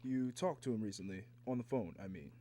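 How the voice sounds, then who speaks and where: An actor playing a businesslike government agent, questioning formally in a 1950s radio drama style. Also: male voice, mid-range voice, fairly quick, mellow, natural voice. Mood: businesslike, probing.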